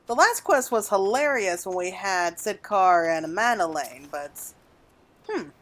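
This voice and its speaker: A woman's voice: medium pitch, lively intonation, a little slow, a bit bright. A woman giving casual commentary as she plays through a video game. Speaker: gaming video host. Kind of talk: casual game playthrough commentary, reading dialogue aloud. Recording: background music under the voice.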